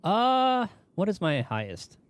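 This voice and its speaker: A man's voice: high voice, wildly swooping pitch, takes it slowly, smooth, no room sound. A man chatting casually on a game livestream, rambling and thinking aloud as he goes. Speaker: game streamer. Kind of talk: casual livestream chat while gaming.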